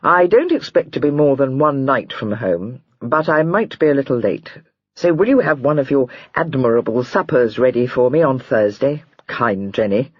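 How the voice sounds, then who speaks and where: A narrator voicing a gentle, wry young husband who talks in a soft, dry, gently provoking way. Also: male voice, mid-range voice, expressive, normal speed, slightly rough, no echo, muffled recording. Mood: teasing.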